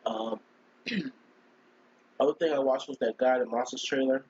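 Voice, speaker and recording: A man with a cold, hoarse and scratchy, chatting casually on a live stream and rambling as he keeps clearing his throat. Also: mid-range voice, normal speed, darkish tone, muffled recording. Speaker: gaming streamer.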